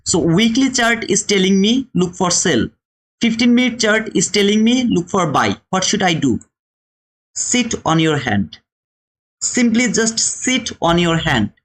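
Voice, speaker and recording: High-pitched, animated Bangladeshi man teaching. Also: unhurried, poor audio. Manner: emphatic, repeats phrases, asks rhetorical questions. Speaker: trading teacher and livestream host. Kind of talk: live instructional commentary, talking to viewers.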